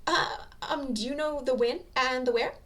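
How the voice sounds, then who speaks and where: A woman's voice: high-pitched, moderate pace, fairly bright tone, pitched above the performer's own voice. A woman voicing a timid, flustered office woman who stammers and hesitates nervously in an amateur audio story.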